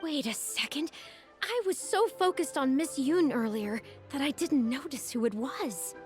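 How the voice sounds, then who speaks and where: A woman voicing an earnest, troubled female character in a fantasy video game, with expressive delivery. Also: sits high, a little slow, faint music behind. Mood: realizing.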